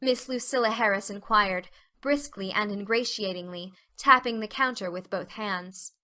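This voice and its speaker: A woman's voice: mid-range voice, moderate pace, pushed and bright. A woman narrating an audiobook story as a scripted dramatic reading.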